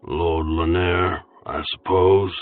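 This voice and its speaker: A man voicing a surly, resentful male thug in a storybook reading, speaking slowly and sullenly. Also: low voice, level tone, far from the mic. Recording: tinny phone-like audio, faint music.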